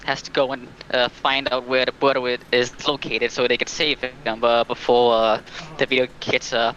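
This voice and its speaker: A man with a bright, forceful tone chats as a guest on a livestream call. He rambles and hesitates as he explains. The sound is somewhat dull and lo-fi, with faint noise. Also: mid-range voice, flat delivery. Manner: halting, rambling. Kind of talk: casual chat, recounting a plot.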